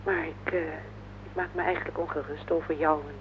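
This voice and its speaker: A woman's voice: sits low, steady tone, fairly quick, natural voice. An actress playing a worried, insistent wife in her forties in a radio play, speaking earnestly and plaintively. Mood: worried, resigned. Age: 40s. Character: worried, insistent wife of forty-one, earnest and heavy-hearted. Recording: noisy, band-limited old radio play recording.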